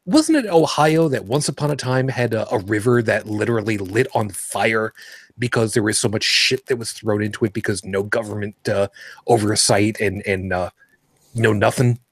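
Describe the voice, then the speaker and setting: A man's voice: fairly low pitch, normal speed, dry recording. A man in his forties from Brooklyn, co-hosting a podcast in casual, sarcastic chat. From Brooklyn, New York. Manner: sarcastic, joking. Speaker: podcast co-host.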